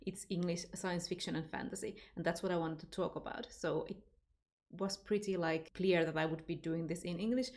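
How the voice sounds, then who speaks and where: A woman's voice: lowish voice, steady tone, fairly quick, a little mellow. Finnish woman chatting about reading. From Finland. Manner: chatty, unscripted, with fillers and restarts. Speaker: book vlogger. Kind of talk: casual tag video answering questions.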